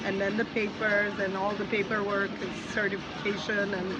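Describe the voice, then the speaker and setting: A woman's voice: mid-range voice, flat delivery, normal speed, dull audio. A woman guest in a casual interview, telling her story frankly and rambling a little with fillers. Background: background music.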